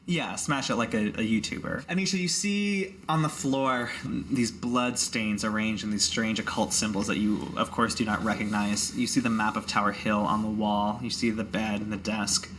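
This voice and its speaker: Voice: medium pitch, normal speed, hardly any pauses, a bit bright. A game master casually narrating a tabletop roleplaying game to the players. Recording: noticeable background noise.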